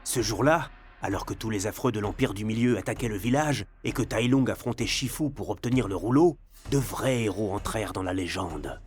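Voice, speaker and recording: A man's voice: lowish voice, a little fast, close to an everyday voice. A man playing a dramatic storyteller of legend, narrating a video game in a solemn, portentous way. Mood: solemn, epic.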